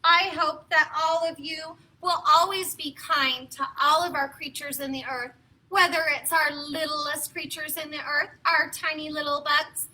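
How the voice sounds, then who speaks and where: A woman with a high, very bright voice speaks to small children as a story time host. She is expressive and encouraging, keeping her phrases simple as she reads and chats. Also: unhurried, poor audio. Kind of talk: read-aloud and talk to young children.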